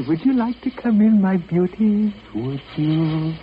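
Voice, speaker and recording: A man's voice: high-pitched, lively intonation. On a muffled, noisy old radio recording, a deranged lighthouse keeper. He croons gleeful threats in a swooping voice, drawing out each taunt. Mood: crazed taunting. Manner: crooning, cackling, menacing, drawn-out taunts. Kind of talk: 1950 radio horror acting.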